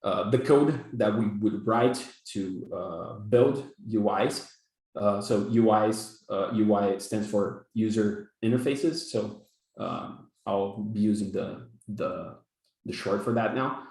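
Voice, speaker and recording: A man's voice: fairly low pitch, slow pace, a little mellow. A young man from Brazil with a Brazilian Portuguese accent, teaching a workshop over a video call and stumbling through fillers and repeated words. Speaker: workshop instructor. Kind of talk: live coding workshop lecture. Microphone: video call webcam. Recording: echoey room on a video call.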